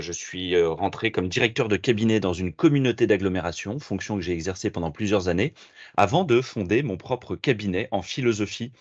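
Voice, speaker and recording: A middle-aged French man being interviewed as a philosopher, talking in a measured, reflective way with frequent hesitations. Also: sits low, a little mellow, dry recording, highs cut off. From France. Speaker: podcast guest, philosopher and management consultant. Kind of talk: interview answers.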